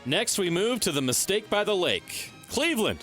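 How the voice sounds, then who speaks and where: A man hosting a sports radio show, briskly reading headlines and teasing his co-host. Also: high-pitched, big pitch swings, fairly bright tone, soft background music.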